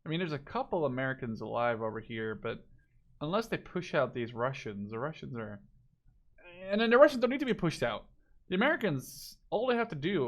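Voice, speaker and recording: A man giving casual live commentary on a video game match, thinking aloud as he watches. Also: medium pitch.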